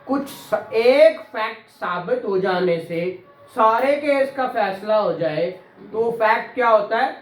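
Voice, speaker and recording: A man's voice: high-pitched, animated, slow pace, faint music behind. A man with a Pakistani Punjabi accent lecturing on law to a class, repeating key phrases and prompting students with questions. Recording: echoey, reverberant room.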